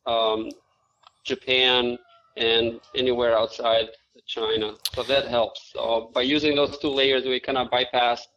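Albanian-accented man in his forties, flat delivery. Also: mid-range voice, slight background noise. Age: 40s. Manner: measured, explanatory, frequent "uh" fillers. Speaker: IT manager at a manufacturing firm. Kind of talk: interview answers. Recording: dull lo-fi audio.

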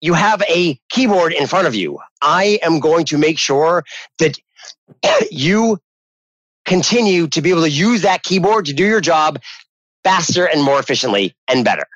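A man's voice: fairly high pitch, animated, normal speed, a bit bright, no room sound, dull audio. A man from New Hampshire in casual conversation, rambling with many restarts and repeated words. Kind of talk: casual tech podcast chat.

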